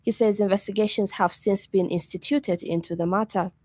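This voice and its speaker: A woman with a Zambian accent reading a scripted radio news bulletin in a formal, measured manner. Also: mid-range voice, fairly even intonation, darkish tone, no echo.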